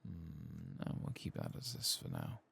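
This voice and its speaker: A man giving soft-spoken ASMR commentary on a game, sometimes dropping into a whisper. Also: extremely low pitch, very animated, measured pace, gravelly, husky. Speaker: gaming ASMR streamer. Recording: faint keyboard and clicking noise.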